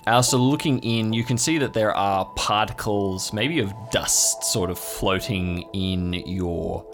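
A man's voice: on the low side, a little slow, faint music behind. A man narrating an improvised horror tabletop game as game master, in a descriptive, suspenseful storytelling manner.

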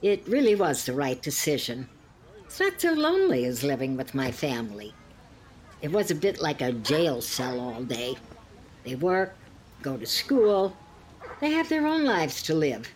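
Woman acting slow, prim elderly lady, lilting and judgmental. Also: low-pitched, very animated. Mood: wistful, resigned. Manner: prim, sighing, pointedly polite barbs. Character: elderly woman, genteel but judgmental, passive-aggressive old friend. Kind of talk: scripted two-hander audio comedy-drama.

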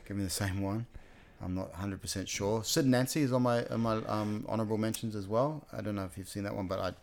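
Man, low gravelly voice, rambling casual film talk, co-host. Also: fairly even intonation, fast talker, few pauses, a little mellow. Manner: rambling, digressive, self-correcting. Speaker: podcast co-host. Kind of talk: casual chat about films.

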